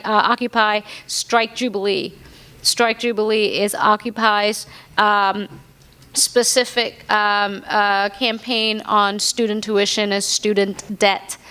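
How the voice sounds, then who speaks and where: A woman in her thirties who grew up in Indonesia, speaking as a panelist and explaining her points earnestly, with frequent fillers. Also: a little high. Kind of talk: panel discussion answer. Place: conference hall.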